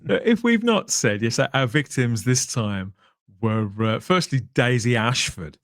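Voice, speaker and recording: A man hosting a podcast in a casual but polished way, discursive and measured, often ending on tag questions. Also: medium pitch, animated, no echo, studio quality. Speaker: podcast host.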